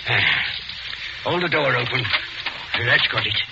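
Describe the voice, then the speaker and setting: Gruff British bruiser, old muffled radio. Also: male voice, medium pitch, lively intonation, moderate pace. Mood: uneasy. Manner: rough working-class slang, blunt. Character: burly, gruff ex-wrestler bodyguard, loyal and plain-spoken. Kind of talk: 1940s radio acting. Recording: muffled, noisy 1940s radio recording.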